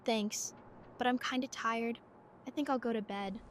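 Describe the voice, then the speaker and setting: A woman acting a quiet, timid teenage girl. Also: fairly high pitch, steady tone, normal speed, slight background noise, lightly acted. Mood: timid, uneasy. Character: shy, withdrawn, apologetic teenage girl. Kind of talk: audio drama acting.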